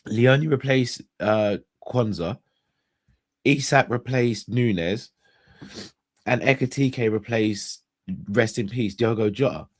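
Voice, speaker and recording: A young man hosting a casual live-stream chat, hoarse and congested, talking straight to his viewers and often restarting his phrases. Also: mid-range voice. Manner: chatty, addresses viewers directly, restarts phrases. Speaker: host.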